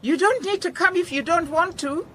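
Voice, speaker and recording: High, bright, determined woman. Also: moderate pace, a little hiss or hum. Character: determined, curious woman visiting an abandoned place. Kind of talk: radio drama acting.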